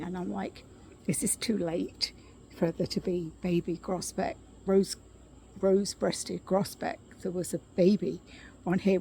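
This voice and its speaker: A middle-aged woman talks softly into her phone camera, her voice scratchy and a bit gravelly, sitting slightly low. She clears her throat often and fills pauses with ums, unhurried and chatty. Faint outdoor ambience sits under her. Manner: soft-spoken, frequent throat clearing and ums. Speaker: vlogger. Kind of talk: casual spoken update. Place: outdoors at her condo, quiet yard with birds.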